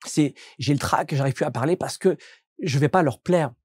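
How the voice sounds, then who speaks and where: A man's voice: a little high, normal speed, a little mellow, husky, no room sound. A man from France, a coach and entrepreneur, answering interview questions in a reflective, explanatory way with frequent restarts.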